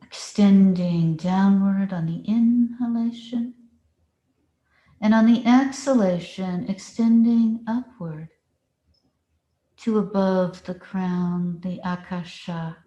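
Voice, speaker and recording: A woman meditation teacher leading a guided meditation with deliberate phrasing and long pauses. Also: medium pitch, fairly even intonation, mellow, dull audio. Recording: roomy, echoing space.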